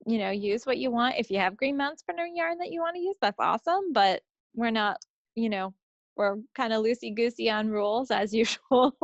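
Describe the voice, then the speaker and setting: A woman's voice: on the high side, expressive, fairly quick. A woman co-hosting a podcast in an easygoing, informal chat, on a slightly dull, roomy recording. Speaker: podcast co-host. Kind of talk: casual chat.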